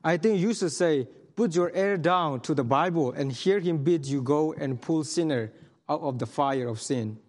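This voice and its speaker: Earnest man preaching in a hall. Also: a little high. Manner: earnest, halting, many restarts and fillers. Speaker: missionary speaker. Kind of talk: sermon testimony. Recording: long hall reverb.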